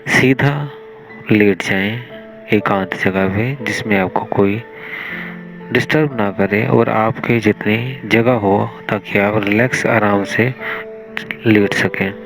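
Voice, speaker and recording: Slow, low-voiced Indian man guiding meditation. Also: fairly even intonation, poor audio. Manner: measured, instructional, with long pauses between short phrases. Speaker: guided meditation teacher. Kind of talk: slow guided meditation instruction. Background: music.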